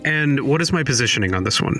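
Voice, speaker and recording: A man's voice: mid-range voice, animated, fast talker, pushed and bright, soft background music. A man playing a tabletop game, chatting casually and thinking aloud. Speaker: player in a tabletop role-playing podcast.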